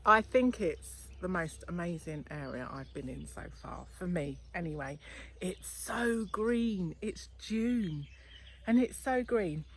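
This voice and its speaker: A gushing woman in her fifties, speaking at an unhurried pace. She hosts a travel vlog from a rural valley and talks into the camera she holds. A faint hiss sits under her voice. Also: fairly low pitch, lively intonation, fairly bright tone. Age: 50s. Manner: enthusiastic, gushing. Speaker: travel vlogger host. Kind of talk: casual chat. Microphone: handheld camera held by the speaker.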